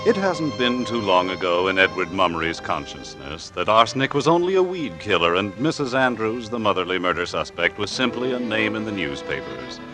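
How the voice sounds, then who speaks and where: Male radio narrator, lowish pitch, formal, solemn, 1940s broadcast, music behind. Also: big pitch swings, fairly quick, hardly any pauses.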